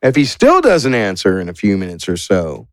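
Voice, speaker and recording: A man's voice: lowish voice, huge pitch swings, normal speed, dry recording, clean studio sound. A man narrating a tabletop roleplaying game as game master in a casual, playful, improvised way.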